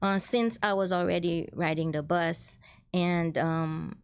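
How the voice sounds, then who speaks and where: Middle-aged woman from Haiti, lowish voice, earnest guest, dry mic. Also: steady tone, takes it slowly, clear, resonant tone, dry recording. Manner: earnest, many restarts and "so therefore". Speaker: guest, transit ambassador. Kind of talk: casual interview chat.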